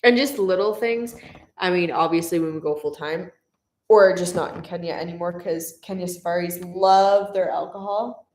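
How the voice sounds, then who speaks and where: A woman's voice: on the low side, moderate pace, a little mellow. A young woman co-hosting a travel vlog livestream, reading out viewer questions in a casual, upbeat way and laughing easily. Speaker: travel vlogger co-host. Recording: roomy echo.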